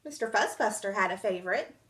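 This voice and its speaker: A young woman reading a children's storybook aloud indoors in an expressive, dramatic storytime style. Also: mid-range voice, a little fast. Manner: animated, dramatic. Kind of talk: expressive picture-book read-aloud. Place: small indoor room.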